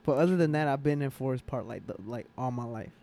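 A man's voice: mid-range voice, fast, gravelly, dark tone. A young man from the Atlanta area chatting casually as an interview guest, rambling and slangy, leaning heavily on the word "like". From Atlanta area, Georgia, USA. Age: young adult. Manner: slangy, rambling, heavy use of "like". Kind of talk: casual chat.

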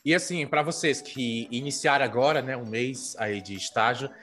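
A man's voice: mid-range voice, normal speed, soft background music. A man hosting a podcast and putting questions to his guests with many fillers. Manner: steady, filler-heavy questioning. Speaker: podcast host. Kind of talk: podcast interview.

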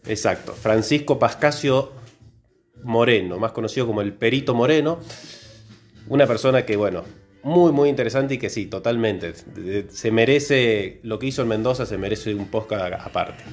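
A man's voice: mid-range voice, moderate pace, soft background music. A man from Córdoba with an Argentine accent, co-hosting a casual podcast conversation. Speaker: podcast co-host, biologist.

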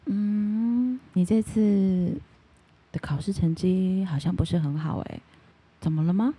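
A woman playing a flirtatious mature lady teacher in ASMR roleplay, speaking slowly and coaxingly with a husky, sometimes whispery voice. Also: mid-range voice, mellow, pitched above the performer's own voice. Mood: teasing, seductive. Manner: slow, seductive, coaxing, drops into whispers. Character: flirtatious mature big-sister female teacher, teasing her students.

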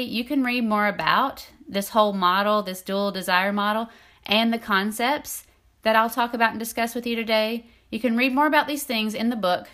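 A woman's voice: a little high, a bit bright. Soothing-voiced woman hosting a podcast. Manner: friendly, chatty, laughs lightly. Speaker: podcast host and intimacy coach. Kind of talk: solo podcast talk, partly scripted.

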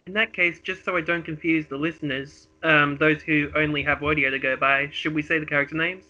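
A man's voice: on the high side, steady tone, brisk, pushed and bright. A man chatting casually as a podcast co-host, with a wry, joking manner. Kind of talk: casual chat. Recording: slightly dull, lo-fi audio.

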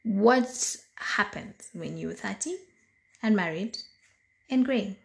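A woman in her thirties with a Kenyan accent hosts her own talk show alone. Her voice is a bit hoarse this morning, and she talks in a slow, relaxed way, filling her pauses with ums and uhs. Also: mid-range voice. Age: 30s. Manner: slow, deliberate, chatty with frequent fillers. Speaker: podcast host. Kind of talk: casual solo monologue.

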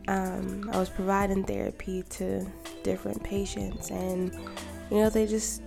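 A woman in her thirties, a podcast co-host, talking calmly and reflectively in a quiet, soft voice. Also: medium pitch, measured pace, gravelly. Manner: calm and gentle. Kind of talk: reflective personal monologue. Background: music.

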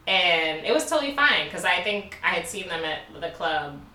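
A young woman from Baltimore chats candidly, laughing as she tells her story. Her voice is bright and a little low. She sits back from the mic in an echoey room. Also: very animated, moderate pace, distant mic, a little hiss or hum. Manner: candid, laughing, many "like" fillers. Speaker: podcast co-host. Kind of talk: casual storytelling chat.

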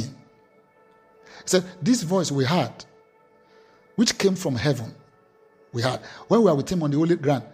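A man's voice: fairly high pitch, a little mellow. A man preaching a sermon, reading scripture aloud with emphatic, rising delivery.

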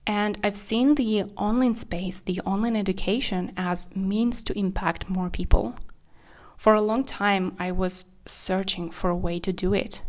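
Woman podcast host, from Kazakhstan, candid and reflective, medium pitch. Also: a little slow. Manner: candid, reflective, with small slips of grammar. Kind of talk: solo podcast monologue.

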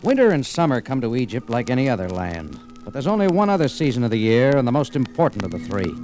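A man plays a world-weary American adventurer in a radio play. His mid-pitched voice moves lively through terse, wry noir narration, with music on the old recording. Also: quick pace, close to an everyday voice, rough recording.

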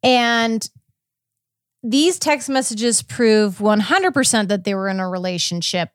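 A woman's voice: fairly high pitch, measured pace, smooth, no room sound. Chatty woman podcast host, close dry sound. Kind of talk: casual conversational recap of a court case.

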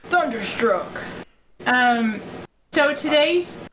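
A woman co-hosting a casual podcast chat, bubbly and quick to laugh, with a shrill voice. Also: lively intonation, music in the background. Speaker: podcast co-host. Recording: echoey room with background noise.

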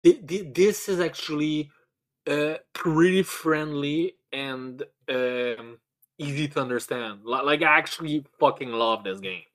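A man's voice: fairly high pitch, measured pace, fairly bright tone. A man in casual, profane podcast chat about video games, often stammering and repeating his words as he talks. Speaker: podcast co-host.

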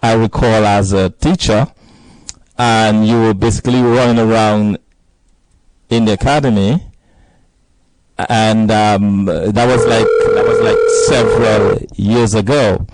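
Male radio host, lowish voice, halting delivery, dry close sound. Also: fairly even intonation, darkish tone, dry recording, poor audio. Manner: hesitant, repeats words while speaking. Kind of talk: live radio interview.

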